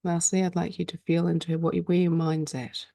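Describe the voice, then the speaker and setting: A woman leading a guided meditation in a calm, soothing way, speaking slowly with frequent pauses. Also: lowish voice, gravelly, no echo, clean studio sound.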